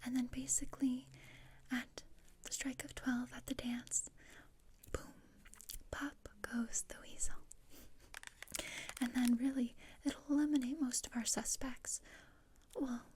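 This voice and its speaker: A woman playing a morbid, scheming, deadpan teenage girl in a whispered ASMR roleplay, with a measured, dryly macabre manner. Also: high-pitched, slight background noise.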